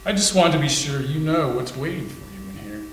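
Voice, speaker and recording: A man's voice: fairly high pitch, animated, fairly quick, a little hiss or hum, lightly acted. A man playing a jeering, sullen, petulant caretaker with a taunting, grudging, folksy manner in a staged readers theater drama. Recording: echoey room recording.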